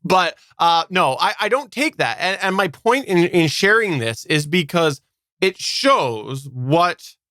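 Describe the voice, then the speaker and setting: A man's voice: on the high side, fairly bright tone, no echo, studio quality. A man from Canada co-hosting a podcast, giving his opinions in casual conversation. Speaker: podcast co-host.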